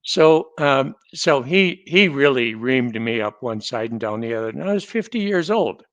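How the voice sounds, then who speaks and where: Older man in his 70s, guest, measured storyteller with dry humor, dry close sound. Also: mid-range voice, lively intonation, no room sound. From North Dakota. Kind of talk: casual conversation, storytelling.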